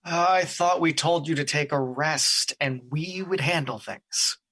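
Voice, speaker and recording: A woman playing a calm, pitying personification of a positive emotion in an audio drama, gently reproving. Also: deep voice, a little slow.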